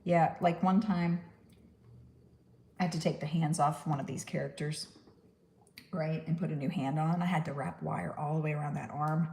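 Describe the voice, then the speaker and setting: Low, gravelly woman with a Texan twang. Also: fairly even intonation, moderate pace, pauses often, warm tone. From New York. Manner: rambling, chatty, laughs at her own puns. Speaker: stop-motion puppet maker. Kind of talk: casual crafting livestream chat.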